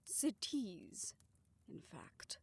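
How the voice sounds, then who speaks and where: A woman performing a scripted audio roleplay, voicing several characters in a soft, hushed way that often drops to a whisper. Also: mid-range voice, huge pitch swings, very slow, creaky, slightly rough.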